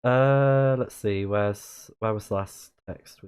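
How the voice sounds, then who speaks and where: A man's voice: on the low side, slow, a little mellow, clear, resonant tone. A man with a slightly scratchy voice, chatting casually as he streams a game and reads its text aloud. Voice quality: a bit scratchy. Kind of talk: casual live let's play, reading game texts aloud.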